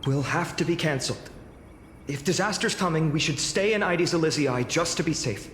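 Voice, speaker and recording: An actress playing an earnest, humble young village sword trainee in a video game. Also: female voice, low-pitched, moderate pace, slight background noise, natural voice. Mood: concerned, earnest. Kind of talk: scripted game voice acting. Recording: echoing hall reverb, faint music.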